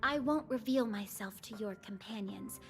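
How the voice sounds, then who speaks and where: An actress voicing a secretive, coaxing woman who guards memories in a video game, speaking in a measured way. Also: medium pitch, fairly bright tone, faint music behind, natural voice. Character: secretive, softly insistent mysterious woman, keeper of memories.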